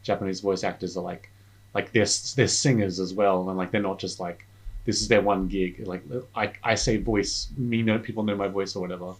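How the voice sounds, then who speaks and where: A man's voice: sits low, steady tone, fairly quick, husky. A young man chatting casually as a podcast guest, rambling with lots of filler words and restarts. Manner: rambling, many filler words and self-corrections. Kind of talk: casual chat.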